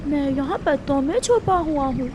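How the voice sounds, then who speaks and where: A woman's voice: high voice, animated, moderate pace, noisy background. A woman voicing a small, kind male firefly in a cartoon, earnest and courteous. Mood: timid.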